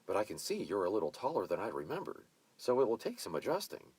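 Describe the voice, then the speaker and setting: A man voicing a bossy, effusive mature woman who hosts a wedding party and gives orders briskly. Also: on the low side, huge pitch swings, a little mellow, husky, no room sound, voice pushed up, full character voice. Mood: enthusing. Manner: brisk, commanding, gushing.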